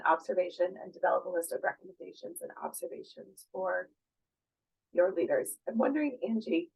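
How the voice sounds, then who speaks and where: A woman giving an academic conference presentation, hoarse from a cold, talking in a measured way with frequent fillers and questions to the audience. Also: medium pitch, a little mellow, poor audio.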